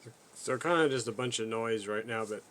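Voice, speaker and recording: A man giving a casual, unscripted product walkthrough as a video host, talking in a halting, low-key, rambling way. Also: noisy background. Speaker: radio reviewer, video host.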